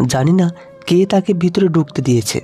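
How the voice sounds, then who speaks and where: A man's voice: on the high side, normal speed, warm tone, faint music behind, lightly acted. A man voicing snobbish shop customers in a story, gossiping with sneering mockery. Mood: mocking.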